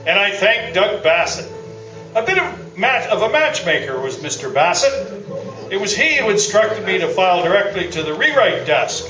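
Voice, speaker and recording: A pompous veteran sports columnist played by a man in a live stage radio play, boasting grandly in a high voice that swings up and down. The sound is echoey, picked up from across a theatre. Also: sing-song, normal speed, music in the background. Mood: self-satisfied. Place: theatre stage before an audience. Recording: echoey theatre from far off, audience noise.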